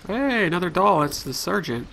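A man's voice: high-pitched, sing-song, normal speed, creaky, bright, forceful tone, a little hiss or hum. A man hosting a let's play, chatting casually and thinking aloud as he plays. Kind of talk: casual gameplay commentary.

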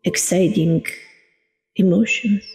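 Very slow, creaky-voiced woman guiding meditation. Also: lowish voice, fairly even intonation, a little mellow. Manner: soothing, deliberate, long pauses between short phrases. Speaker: meditation guide, coach. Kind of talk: guided meditation narration. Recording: long reverb with music behind. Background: meditation music.

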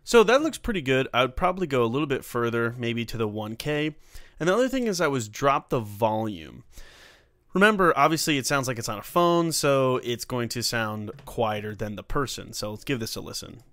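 A man's voice: medium pitch, very animated, brisk, a bit bright, no room sound. Man giving a casual tutorial, dry sound. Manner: informal, talks through steps as he works. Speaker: tutorial host. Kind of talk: casual screen-recorded software tutorial.

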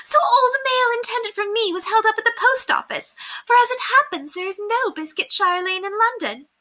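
Woman doing a shrill, vain aristocratic lady. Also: moderate pace, bright, forceful tone. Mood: astonished. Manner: high, gushing and exclamatory. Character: haughty, boastful aristocratic lady, gushing and vain.

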